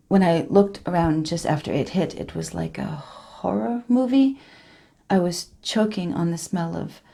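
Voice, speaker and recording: A woman's voice: fairly low pitch, gravelly, warm tone, slightly rough, heavily acted. A woman performing a dramatic monologue as an earnest, emotional survivor, confiding and hesitant in direct address. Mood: grave, shaken.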